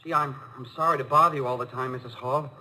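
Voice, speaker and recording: An actor playing an eager, bashful young college student in a 1950s radio comedy-drama, boyish, polite and stammering. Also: male voice, mid-range voice, fairly even intonation, fast, sharp tone. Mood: sheepish. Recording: noisy old radio broadcast recording.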